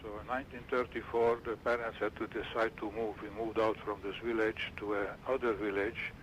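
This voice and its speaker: A man in his seventies from Romania recounts his early life in a low, flat voice that barely rises or falls. He talks haltingly and restarts his sentences as he hunts for words. A faint hiss sits under the recording. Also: poor audio. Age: 70s. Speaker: interviewee. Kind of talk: recalling childhood memories.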